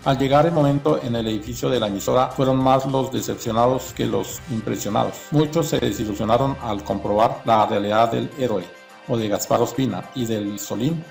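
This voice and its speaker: Man, creaky mid-pitched voice, scripted narration, soft music bed. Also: a little fast. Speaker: documentary narrator.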